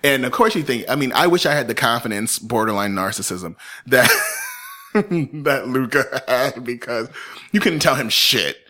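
Joking man with a bright voice. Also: mid-range voice, animated, normal speed. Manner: joking, laughs often, breaks into bits. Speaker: podcast host. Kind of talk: casual storytelling chat.

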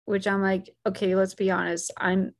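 A woman's voice: medium pitch, flat delivery, moderate pace, vocal fry. Casual chatty woman, full of fillers. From United States. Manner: lots of "like" and "you know", restarting sentences. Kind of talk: casual conversation.

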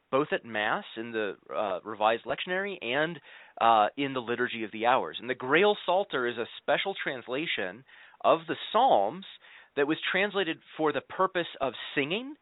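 A man's voice: medium pitch, no room sound. Man explaining, slightly phone-like sound. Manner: teacherly, often checks with "right?". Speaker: guest scholar, professor of scripture. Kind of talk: conversational explaining in an interview.

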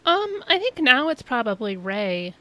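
A woman's voice: high-pitched, very animated, measured pace, sharp tone. A woman co-hosting a podcast, chatting casually and gushing eagerly. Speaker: podcast co-host.

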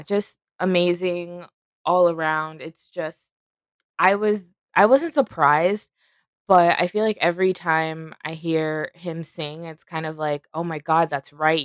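A young woman chats casually as a podcast co-host, her voice sitting a little low. She talks loosely, full of "like" and "y'all". The recording is close and dry, with no room sound. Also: slow pace, no echo. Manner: chatty, uses lots of "like" and "y'all". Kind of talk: casual chat.

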